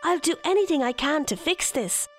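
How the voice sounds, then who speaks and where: Earnest young storybook hero, remorseful and urgent, raised bright pitch, voiced by a woman narrator. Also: lively intonation, normal speed, faint music behind.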